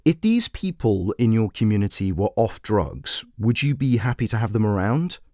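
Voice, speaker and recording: Man playing a brisk lady talk radio host, probing and measured, very dry close sound, lots of up and down. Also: fairly low pitch, sing-song, warm tone, no echo. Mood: firm, challenging. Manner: measured, questioning, persuasive.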